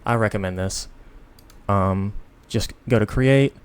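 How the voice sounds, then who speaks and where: A tired man hosts an off-the-cuff software tutorial. His voice sits fairly low and sounds clear and smooth. He rambles in a casual, self-deprecating way, sounding sleepy as he explains. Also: unhurried. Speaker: tutorial host.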